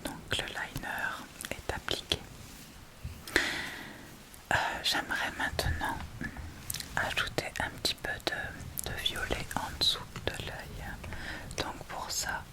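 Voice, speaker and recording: A woman speaks in a steady whisper, in the style of an ASMR tutorial. She takes her time, narrating each small step informally and pausing as she searches for the next word. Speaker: ASMR creator giving a makeup tutorial.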